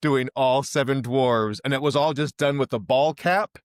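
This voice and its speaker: A man's voice: medium pitch, normal speed, clear, resonant tone, no echo, studio quality. A man hosting a casual interview, speaking in an engaged, enthusiastic way and often checking in with the guest. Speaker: podcast host, interviewer.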